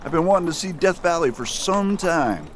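A man's voice: fairly high pitch, animated, normal speed, natural voice. Guy doing a friendly old-west marshal, bouncy delivery, faint hiss. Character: steady adult male US marshal, friendly. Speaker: US marshal Richard Wade. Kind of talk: radio drama acting.

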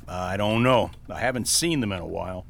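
An actor playing a calm, reassuring town doctor in a western radio drama, measured and matter-of-fact. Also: male voice, on the low side, vocal fry. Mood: sombre.

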